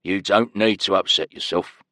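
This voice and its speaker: A man's voice: low-pitched, normal speed, pushed and bright, no echo. A man reading an audiobook voices a suspicious male prison guard who speaks in a curt, demanding way. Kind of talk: audiobook character voice.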